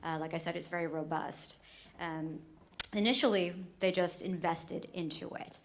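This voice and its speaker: A woman doctor from the United States speaks on a conference panel. Her voice is on the low side, and she explains things in a clear, steady way. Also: normal speed, slight background noise. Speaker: emergency physician, panelist.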